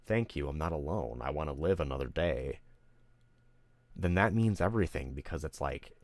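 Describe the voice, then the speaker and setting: A man's voice: a bass voice, expressive, normal speed, no echo. A streamer man ranting candidly to his live audience, rambling, emotional and full of swearing. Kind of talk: candid venting on a livestream.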